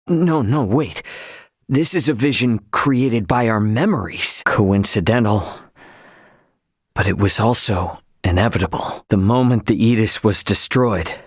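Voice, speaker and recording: A man playing a brash, easygoing young spaceship crewman in a video game, with casual, slangy, expressive speech. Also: on the low side, breathy edge, dry recording, studio quality. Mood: reflective. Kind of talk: video game character voice acting.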